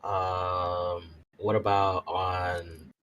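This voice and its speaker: A man's voice: low-pitched, level tone, drawn-out words, fairly bright tone. A man chatting casually as a player in a tabletop roleplaying game.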